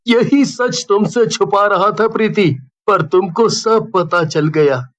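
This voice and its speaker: A man acts as a gentle young ghost in love in an animated horror story. The voice is high for a male and unhurried, full of wistful, earnest confession. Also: muffled recording. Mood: regretful, pleading. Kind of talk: Hindi horror cartoon dubbing.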